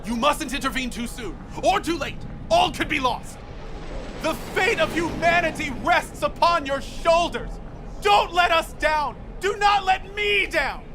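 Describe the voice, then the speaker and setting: High-pitched frantic street prophet. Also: male voice, lively intonation, moderate pace, a crowd in the background, background noise. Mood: desperate warning. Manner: urgent, grandiose, preachy. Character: frantic paranoid street prophet, a male conspiracy ranter. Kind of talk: video game voice acting.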